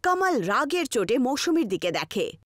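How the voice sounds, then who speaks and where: High-pitched, animated female story narrator. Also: moderate pace, no room sound, studio quality. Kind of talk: scripted horror story narration.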